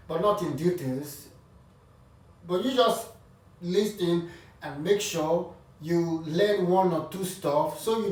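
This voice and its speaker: A man with a fairly high voice speaks his mind in a frank, opinionated way, breaking off and restarting as he goes. He sits some distance from the mic, and the room answers with a noticeable echo. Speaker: vlogger. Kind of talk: casual advice talk to camera.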